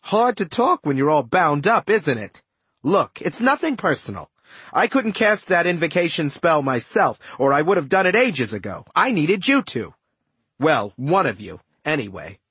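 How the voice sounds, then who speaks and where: A smug villain magician from a storybook, acted out by a male narrator, talks in glib, condescending patter. The voice is slightly raised and husky, swinging widely in pitch as he plays at being everyone's pal. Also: sing-song, moderate pace, fairly bright tone, no room sound, exaggerated voice. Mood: smug, chatty. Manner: glib, condescending, casual wise-guy patter. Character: smug, chatty male villain magician, mockingly friendly schemer. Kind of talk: audiobook character dialogue.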